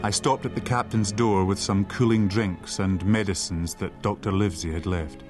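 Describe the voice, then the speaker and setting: An actor playing an earnest, polite young innkeeper's lad, anxious but plucky, in a radio drama of a classic adventure story. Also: male voice, low-pitched, fairly even intonation, moderate pace, over music, lightly acted. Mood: reflective.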